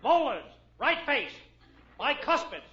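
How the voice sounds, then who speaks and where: A man's voice: very high-pitched, sing-song, slow, bright, forceful tone, full character voice. A man acting as a commanding drill-sergeant dentist in a 1940s radio comedy. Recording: noisy, muffled vintage radio recording.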